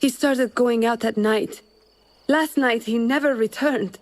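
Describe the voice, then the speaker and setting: A woman's voice: fairly high pitch, a little slow, close to an everyday voice, poor audio. An actress playing a sorrowful, courteous widowed mother in a cinematic video game. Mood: grieving.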